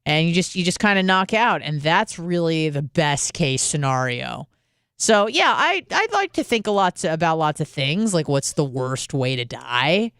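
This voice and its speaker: A woman's voice: low voice, very animated, normal speed, fairly bright tone, clear, resonant tone, dry recording. Female call-in host, loose and profane, clean voice, dry studio mic. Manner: rambling, profane, frequent fillers. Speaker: radio show host. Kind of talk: casual call-in radio talk.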